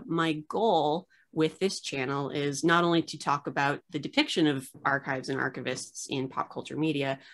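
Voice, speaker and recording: A woman's voice: sits low, fairly bright tone. A woman in her thirties hosting a casual video-call interview from home, chatty with lots of filler uhs and self-deprecating jokes. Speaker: host. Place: home room with framed art on the wall.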